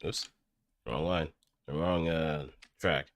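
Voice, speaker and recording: A man's voice: rumbling low, fairly even intonation, slow pace, no echo, studio quality. A man streaming casually, talking listeners through his voice acting practice. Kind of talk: casual live stream commentary.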